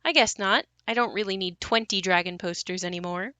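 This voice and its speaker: A woman's voice: mid-range voice, fairly quick, bright, forceful tone, dry recording, lightly acted. A woman acting a wistful, reflective grown daughter haunted by her ruined childhood home in an audio play. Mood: wry, casual.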